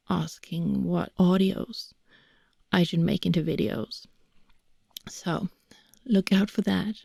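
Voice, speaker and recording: Swedish-accented woman, close-mic whispered ASMR chat, slow and gravelly, dry sound. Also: fairly low pitch, dry recording. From Sweden. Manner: soft rambling whisper. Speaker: voice actor.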